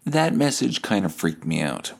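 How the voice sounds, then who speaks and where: A man in his thirties from Winnipeg hosting a podcast, telling a comedic story from a message exchange in a casual, sweary, animated way. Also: on the low side, moderate pace, vocal fry, slight background noise. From Winnipeg, Canada. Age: 30s. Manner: casual, sweary, animated when quoting dialogue. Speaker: podcast host.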